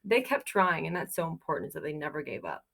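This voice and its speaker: A woman's voice: sits low, fast talker, creaky. Woman reading aloud to young children. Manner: expressive, sing-song story reading, simple encouraging questions for young children. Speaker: teacher reading a picture book aloud to children. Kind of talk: children's read-aloud with questions to listeners.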